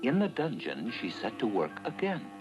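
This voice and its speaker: A man narrating a cartoon fairy tale in a dramatic, expressive storytelling style over loud music. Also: on the low side, moderate pace, poor audio.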